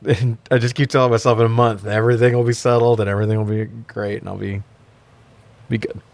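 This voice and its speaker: A man's voice: fairly low pitch, little pitch movement, fast talker, gravelly, darkish tone. A middle-aged American man co-hosting a podcast in casual, self-deprecating, sometimes sweary banter. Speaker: podcast co-host.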